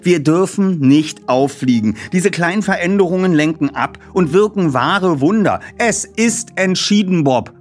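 A man's voice: high-pitched, expressive, a little slow, few pauses, faint music behind, voice pushed up. A man voicing a bossy teenage boy detective who talks in a precise, pompous, wordy way in an audiobook. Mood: bossy, decisive.

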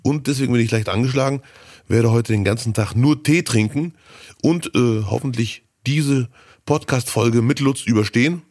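A man with a slightly hoarse, scratchy voice from a cold, co-hosting a casual podcast and telling rambling stories full of fillers. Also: mid-range voice, normal speed, a little mellow, dry recording, studio quality. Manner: chatty, storytelling, rambling with fillers. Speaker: podcast co-host.

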